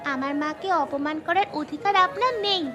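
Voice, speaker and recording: A woman's voice: sits high, normal speed, dull audio, music in the background, close to an everyday voice. A woman voicing a bold, clever village schoolgirl in a cartoon story. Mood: spirited.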